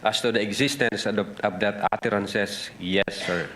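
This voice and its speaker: A Filipino man with a lowish voice gives formal testimony over room noise. He is halting and deferential, circling around direct answers like a careful witness. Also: fairly even intonation, a bit bright. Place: hearing room. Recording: noisy hearing-room ambience.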